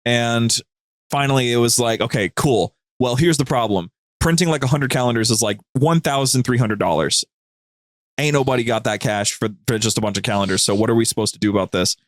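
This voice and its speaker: A man's voice: medium pitch, a little fast, no echo, clean studio sound. A man chatting casually as a podcast guest, telling stories in an informal, rambling, slangy way. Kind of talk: casual, anecdotal chat.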